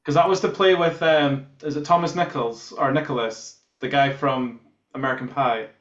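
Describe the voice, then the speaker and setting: A man's voice: medium pitch, fairly even intonation, moderate pace. Scottish man in an echoey, muffled room. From Scotland. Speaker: band member being interviewed. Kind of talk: casual chat. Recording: roomy, echoing, dull call audio.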